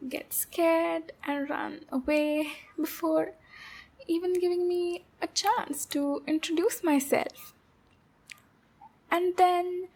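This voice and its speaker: Woman doing a shy clingy demon girl for asmr roleplay, high voice, super slow n halting, nervous and pleading. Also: full character voice. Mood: nervous, coaxing. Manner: hesitant, halting, pleading, soothing with pet names. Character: shy, anxious, clingy young female demon captor. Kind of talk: roleplay ASMR, intimate acted monologue.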